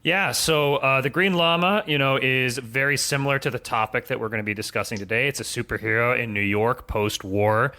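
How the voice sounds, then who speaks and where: A man's voice: mid-range voice, fairly even intonation, a little fast, few pauses, pushed and bright. A man speaking as a podcast guest, explaining things in a casual, conversational way. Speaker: podcast guest, audio drama writer.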